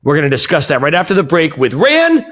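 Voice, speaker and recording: A man's voice: on the high side, wildly swooping pitch, fast talker, a bit bright. An American man with a New England accent, hosting a podcast interview in a friendly, joking, self-deprecating way. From United States. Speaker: podcast host. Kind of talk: casual interview chat.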